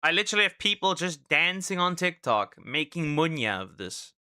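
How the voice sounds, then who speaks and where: Very bright, laid-back male streamer. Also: on the high side, big pitch swings, fairly quick, clear, resonant tone, dry recording, studio quality. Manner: laid-back, repetitive, tag questions like "right?". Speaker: VTuber host reacting to a video. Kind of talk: casual reaction commentary.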